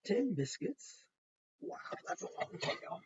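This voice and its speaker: A woman's voice: slow pace, a little mellow, lo-fi sound. A woman kindergarten teacher reading a picture book aloud to young children and explaining it simply as she goes.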